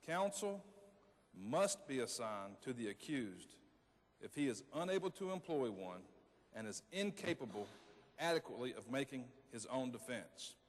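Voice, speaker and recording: A man's voice: mid-range voice, very animated, moderate pace. A man playing a plain-spoken, wry convict petitioner, reading his story aloud in an emphatic readers theater monologue. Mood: formal, emphatic. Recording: hall with long reverberation.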